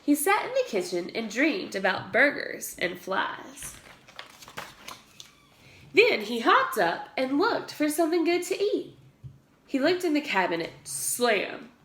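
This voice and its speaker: A woman reads a picture book to young children in a slow, highly animated voice. She punches the sound words with emphasis, and the room echoes around her. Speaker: pre-K teacher reading aloud. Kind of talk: expressive children's storybook read-aloud.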